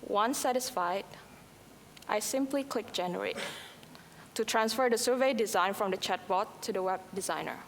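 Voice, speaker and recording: A woman whose first language is Indonesian, presenting a scripted software demo at a conference, with a few slips and restarts. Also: mid-range voice, moderate pace, slight background noise. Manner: reads prepared lines, occasional slips and restarts. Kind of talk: scripted product demo talk. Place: conference hall. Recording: long hall reverb, faint ambience.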